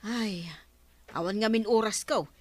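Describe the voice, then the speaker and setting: An actress playing a suspicious, scolding village councilor in a radio comedy drama. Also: female voice, mid-range voice, measured pace, dry recording, natural voice. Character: sharp-eyed woman councilor keeping fish.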